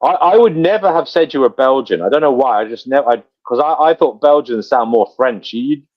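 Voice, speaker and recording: A man's voice: medium pitch, expressive, fairly quick, no echo, lo-fi sound. A man hosting his own channel in casual livestream chat, blunt and prone to swearing, calling his guest brother. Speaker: channel host.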